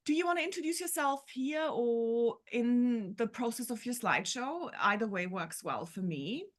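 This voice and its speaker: A woman's voice: fairly high pitch, animated, moderate pace. Upbeat woman hosting a video call. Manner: upbeat, enthusiastic introductions. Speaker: host of parenting community talk. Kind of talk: hosting an online talk. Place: home room with shelves.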